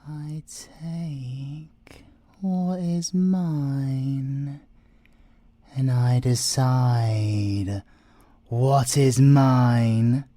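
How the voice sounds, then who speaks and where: A man's voice: mid-range voice, steady tone, drawn-out words, pauses often, mellow, dry recording. A British man voicing an arrogant, flirtatious male siren thief in an ASMR audio roleplay, teasing and theatrical, sometimes dropping to a whisper. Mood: smug, possessive.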